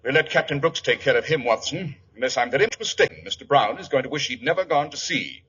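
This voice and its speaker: An actor playing a brisk, imperious detective with a British accent and a clipped, commanding, coolly assured manner in old radio drama style. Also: male voice, medium pitch, animated, pushed and bright, breathy edge. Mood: satisfied. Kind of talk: 1940s radio drama acting. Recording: dull, muffled old radio broadcast.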